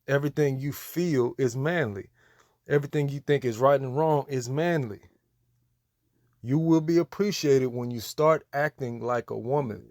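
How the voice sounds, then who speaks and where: A man's voice: mid-range voice, darkish tone, no echo. Admonishing American man with a dry sound. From United States. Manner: admonishing, repetitive, emphatic. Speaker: religious commentator. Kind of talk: preachy reaction commentary.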